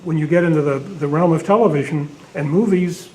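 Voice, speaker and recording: A man's voice: fairly high pitch, fairly quick, dark tone. An older man telling an informal anecdote from the audience at a club meeting. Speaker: audience member, radio show dealer. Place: meeting room. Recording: faintly noisy meeting room.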